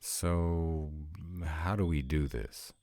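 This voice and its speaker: A man acts out a sarcastic, reluctant young vampire in an audio drama. The voice is very deep and mellow with a rough husk, and it moves at a slow pace with wry, questioning turns. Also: no echo, exaggerated voice. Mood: wry, hesitant. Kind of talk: scripted roleplay audio drama.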